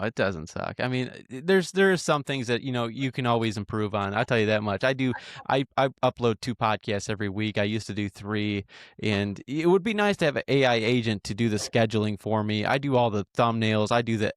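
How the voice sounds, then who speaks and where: A man's voice: lowish voice, fairly quick, no echo, clean studio sound. A man in his twenties hosting a podcast, talking casually and hesitantly, often restarting his sentences. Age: 20s. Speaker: podcast host.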